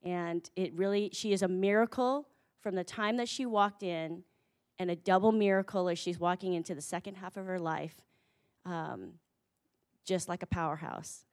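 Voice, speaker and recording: A woman's voice: on the low side, moderate pace. A woman host giving a heartfelt, faith-centered introduction of the next speaker, with frequent fillers. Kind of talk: warm spoken introduction.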